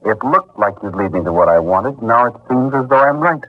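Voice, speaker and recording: A man's voice: mid-range voice, animated, brisk, natural voice. A tough, insinuating shady operator from a radio crime play, voiced by a man. His mid-range voice moves around a lot, on a dull old recording with a murmur behind. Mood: threatening. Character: pushy, shady male tail hunting a prize. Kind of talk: 1940s radio crime drama acting.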